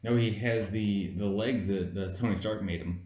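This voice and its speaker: A man's voice: fairly low pitch, steady tone, moderate pace, warm tone, a little hiss or hum. A man co-hosting an amateur movie review in casual, argumentative chat, insistently explaining his points. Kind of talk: casual argumentative film chat between friends. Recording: echoey room.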